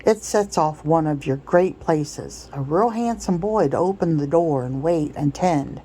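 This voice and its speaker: A woman's voice: deep voice, expressive, unhurried, dark tone, husky, full character voice, low-quality recording. A woman voicing a coarse, pushy male slave trader in a storybook read-aloud, with a wheedling, blustering, folksy rustic way of talking. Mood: smooth persuasion.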